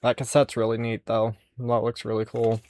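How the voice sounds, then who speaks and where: A man's voice: medium pitch, completely flat delivery, moderate pace, darkish tone. A man hosting a casual, unscripted show-and-tell of his collection, rambling and musing aloud as he goes. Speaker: host showing a music cassette collection.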